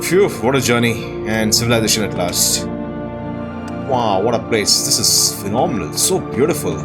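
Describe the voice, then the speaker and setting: A man hosting a game playthrough, giving casual, expressive commentary with a sense of wonder. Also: on the low side, normal speed. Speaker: host of a game playthrough channel. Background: new-age music behind the voice.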